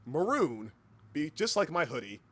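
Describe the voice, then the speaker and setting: A man's voice: on the high side, very animated, moderate pace, fairly bright tone. Casual young-sounding guy chatting on stream. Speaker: livestream host and hobby voice actor. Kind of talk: casual livestream chat.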